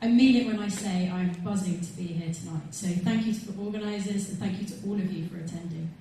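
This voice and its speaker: A woman's voice: mid-range voice, quick pace, dark tone, slight background noise. Very echoey southern English woman giving a speech. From Wimbledon, London, England. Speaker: Green Party election candidate. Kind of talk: prepared campaign speech. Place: large community hall with audience. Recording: very echoey hall, distant mic.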